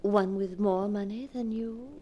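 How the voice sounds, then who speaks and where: A woman's voice: mid-range voice, steady tone, unhurried, lo-fi sound, close to an everyday voice. A woman playing a cold, scornful wife in a 1940s radio melodrama, with a dismissive, theatrical manner. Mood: taunting. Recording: noisy vintage 1940s radio broadcast.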